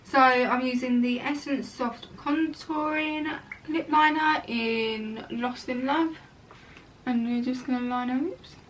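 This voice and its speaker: A young woman chats her way through a makeup tutorial, wandering into casual asides as she works. Her voice sits high and sounds a touch croaky. She is recorded at home from a little way off the mic, with a faint hiss underneath. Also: fairly even intonation, takes it slowly, a bit bright, far from the mic, rough recording. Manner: chatty, rambling asides. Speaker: makeup vlogger. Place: room at home.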